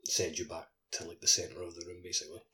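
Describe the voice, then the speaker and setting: A man's voice: deep voice, flat delivery, fast talker, pushed and bright, breathy edge. A man co-hosting a podcast, casually explaining a video game.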